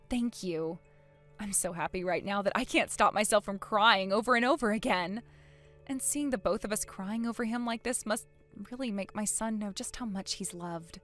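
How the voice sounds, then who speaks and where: A woman plays a fiercely protective mother in a melodramatic story. Her voice is bright and mid-pitched, with earnest, emphatic delivery in long speeches. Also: natural voice. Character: fiercely protective, outspoken, emotional mother of a young son. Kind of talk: melodramatic audio story acting.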